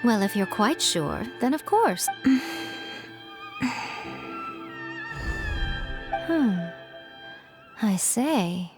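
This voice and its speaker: A sly, teasing shrine maiden for a video game. Her mid-pitched female voice is airy, composed and self-assured, with music playing behind it. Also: sing-song, takes it slowly. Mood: nonchalant teasing. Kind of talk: animated video game dialogue.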